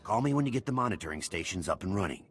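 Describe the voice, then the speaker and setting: A prison comms officer in a sci-fi game. He is low-voiced and lively, briefing an ally with urgency over background music. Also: very fast. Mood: earnest briefing. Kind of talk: scripted video game acting. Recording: music behind the voice.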